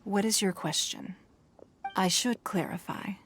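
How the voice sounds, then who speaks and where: A woman's voice: mid-range voice, a little hiss or hum, natural voice. Woman voicing a poised commanding businesswoman leader. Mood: calm. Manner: measured, authoritative, formal. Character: poised wealthy female city leader, shrewd and commanding. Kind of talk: game cutscene voice acting.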